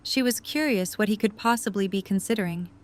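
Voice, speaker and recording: A woman's voice: mid-range voice, a little fast. A woman reading scripted third-person story narration for an audio drama.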